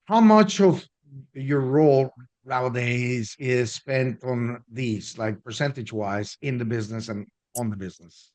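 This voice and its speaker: A man hosts a business interview in a conversational style. His voice sits at a middle pitch for a male speaker, and he talks as a coach putting questions to a guest. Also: a little slow, a little mellow.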